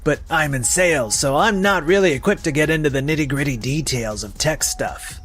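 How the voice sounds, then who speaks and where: A man's voice: on the high side, moderate pace, a bit bright, natural voice. Defensive salesman, acted by a man, music behind. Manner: defensive, excuse-making. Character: evasive young salesman making excuses to his boss. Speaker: sales representative character. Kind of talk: scripted manga dub voice acting.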